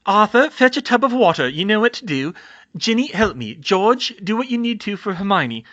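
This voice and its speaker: A man acts out a worried, motherly witch in a storybook reading. The voice is high and lively, brisk and bossy as she takes command. The sound is slightly dull. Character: motherly older witch, worried but briskly taking charge.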